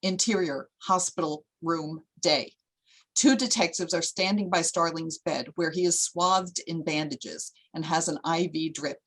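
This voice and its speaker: A woman's voice: deep voice, unhurried, fairly bright tone, breathy edge, low-quality recording. A woman reading stage directions as the narrator of a screenplay.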